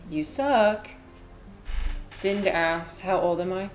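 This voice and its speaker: A woman's voice: on the low side, slow, faint music behind. Chatty, giggly woman in her twenties. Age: 20s. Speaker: streamer. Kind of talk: casual gameplay commentary. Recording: echoey room with faint noise.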